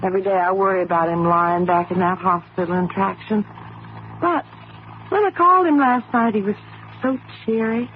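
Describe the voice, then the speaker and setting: A woman's voice: medium pitch, moderate pace, phone-quality sound, natural voice. An actress playing an anxious, devoted young wife and mother in an old radio drama, hesitant and affectionate. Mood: fond, wistful. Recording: band-limited noisy old radio recording.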